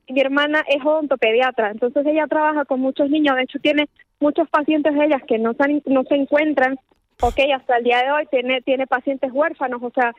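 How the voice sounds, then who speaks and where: A woman's voice: high-pitched, steady tone, a little fast, dry recording, highs cut off. A Venezuelan woman interviewed on the radio, speaking earnestly and repeating words as she searches for them. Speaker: guest, charity organizer.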